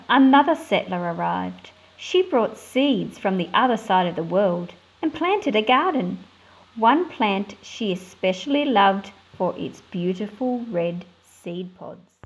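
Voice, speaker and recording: A woman with an Australian accent presenting a picture book for children, explaining things in simple, clear phrases. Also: medium pitch, a little mellow, poor audio. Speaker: read-aloud host for children.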